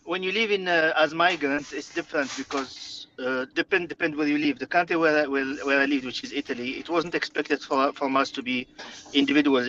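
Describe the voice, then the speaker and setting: A man's voice: mid-range voice, bright, forceful tone, dull audio. Hesitant Moroccan-born man, Arabic native. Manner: hesitant, many fillers and restarts. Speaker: interview guest. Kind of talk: conversational interview.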